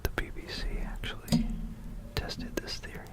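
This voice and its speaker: Casting: ASMR whisperer, no voicing at all, informal and musing, recorded close on binaural mic. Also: normal speed. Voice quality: soft unvoiced whisper. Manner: rambling, musing asides. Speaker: ASMR video creator. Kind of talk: whispered ASMR, informal talk mixed with reading aloud. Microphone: close stereo binaural microphone.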